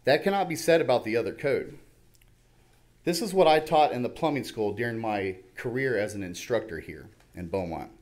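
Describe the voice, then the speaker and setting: Low-voiced middle-aged man reading a statement. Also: darkish tone. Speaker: public commenter, plumbing instructor. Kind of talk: prepared public statement read aloud. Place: large indoor council chamber. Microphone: podium gooseneck microphone.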